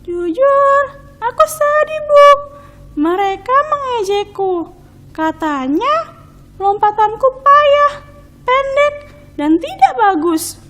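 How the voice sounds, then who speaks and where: A woman voicing a humble, hardworking young boy frog with an eager, childlike, expressive delivery in a children's storybook. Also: very high-pitched, slow pace, long pauses, soft background music, pitched above the performer's own voice, full character voice. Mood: sad, confessing.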